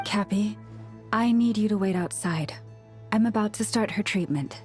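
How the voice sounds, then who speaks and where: A woman voicing a gentle, solemn young priestess leader in a video game, with measured, formal delivery. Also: medium pitch, soft background music, natural voice. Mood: concerned, composed.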